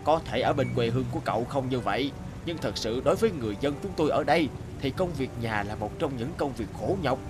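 A patient, sensible office friend played by a man in an animated moral tale. He explains earnestly and with measure, over background music. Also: medium pitch, fast, hardly any pauses, lightly acted. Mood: earnest, reproving.

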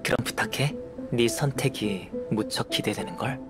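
An actor playing a calm, curious young male alchemist in a game, speaking in a measured, composed, articulate way. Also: mid-range voice, close to an everyday voice. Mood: calm, reflective. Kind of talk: scripted game dialogue. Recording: music behind the voice.

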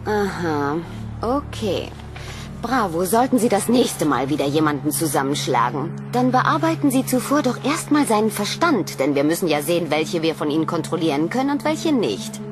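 A woman's voice: on the low side, big pitch swings, normal speed, over music. An actress playing a cautious, concerned female scientist in a sci-fi audio drama, formal and admonishing. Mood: stern, cautious.

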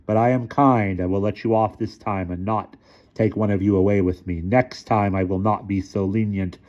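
A menacing robed visitor from a storybook, acted out by a male narrator. He speaks in drawn-out, grandly ominous pronouncements, with a dark tone and a slightly dull recording. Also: lowish voice, fairly even intonation, natural voice. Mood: grandly threatening. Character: tall robed stranger, theatrically menacing, self-styled supernatural visitor. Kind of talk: audiobook character voice.

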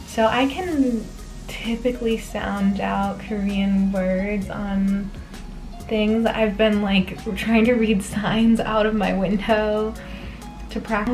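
A woman's voice: mid-range voice, a little mellow. A young woman in her twenties chatting casually to camera from a small apartment room, laughing often as she rambles through her day. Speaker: vlogger. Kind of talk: casual vlog chat. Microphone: camera on a desk, later handheld camera. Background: background music.

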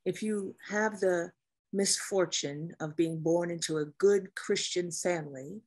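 A woman acting a weary, bitter naval officer in a drama, speaking bluntly. Her voice is low and husky, with a rough edge. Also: measured pace, a little mellow. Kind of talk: dramatic script table reading.

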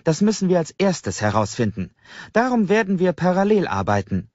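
A man voicing a brisk, commanding young woman project leader addressing a crowd in an audiobook, in a projected, organized announcing manner. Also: high-pitched, sing-song, a little mellow, dry recording, lo-fi sound, voice pushed up. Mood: rallying. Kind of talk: audiobook character voice.

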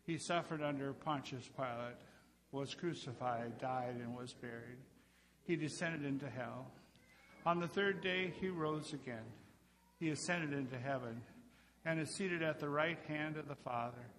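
A man's voice: mid-range voice, a little slow, a bit bright. A man speaking as a pastor leading a church service and addressing the congregation. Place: large church sanctuary, indoors. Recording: echoey church hall with long reverb.

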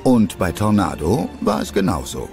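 A man's voice: on the low side, wildly swooping pitch, moderate pace, gravelly, over music. A man narrating a scripted children's adventure story as its narrator.

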